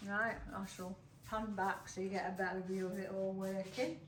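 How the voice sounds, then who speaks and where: A woman's voice: medium pitch, little pitch movement, normal speed, heavy background noise. Chatty woman in an echoey room. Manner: chatty, rambling. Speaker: host and camera operator of a restoration vlog. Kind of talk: casual vlog chat. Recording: echoey room, music behind.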